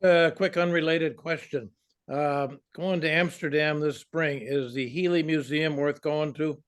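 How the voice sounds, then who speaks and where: A man on a club conference call politely asking a quick travel question. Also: on the high side, fairly even intonation. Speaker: club member.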